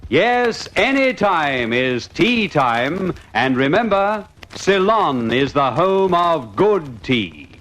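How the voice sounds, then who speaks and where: A man reading an old-time radio commercial in a measured, persuasive way. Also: on the high side, very animated, a bit bright, soft background music. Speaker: advertisement announcer.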